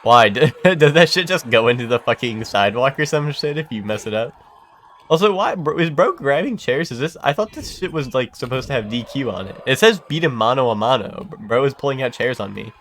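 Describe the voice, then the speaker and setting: A man's voice: medium pitch, lively intonation, fairly quick, fairly bright tone, slight background noise. A young man with a congested, stuffy voice chats loosely while playing a game on stream. His talk rambles, full of fillers, self-corrections and casual swearing. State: congested from a cold. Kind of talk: casual chat while gaming.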